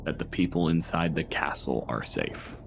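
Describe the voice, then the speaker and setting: A man with a low voice leads a tabletop roleplaying game. He paints scenes dramatically and deliberately, then slips into casual table talk. Music plays clearly underneath him. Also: animated. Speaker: game master. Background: clear background music.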